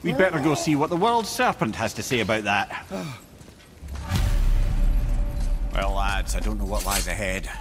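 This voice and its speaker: An actor with a Scottish accent playing a chatty, clever older advisor in a video game, wordy and wry. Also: male voice, fairly low pitch, a little fast, crowd noise, natural voice. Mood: wry, thoughtful. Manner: animated, wordy, wry explainer. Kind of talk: video game cutscene acting.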